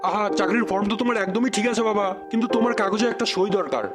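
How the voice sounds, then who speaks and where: A smug cartoon office clerk played by a man, angling for bribes with a sly, officious manner. The male voice is high and quick. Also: fairly even intonation, lightly acted. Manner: sly, officious, matter-of-fact. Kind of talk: comedy cartoon dubbing. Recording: loud music behind the voice.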